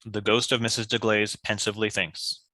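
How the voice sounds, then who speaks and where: Bright, low-pitched male script narrator. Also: level tone, normal speed, no room sound. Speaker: stage-direction narrator.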